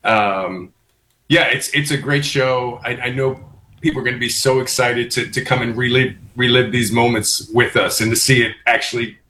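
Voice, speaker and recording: A man's voice: mid-range voice, fairly even intonation, normal speed, sharp tone, a little hiss or hum. A man from Baltimore, a musical theater actor, talking friendly and upbeat in an interview over a video call. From Baltimore, USA. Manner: friendly, upbeat, some fillers.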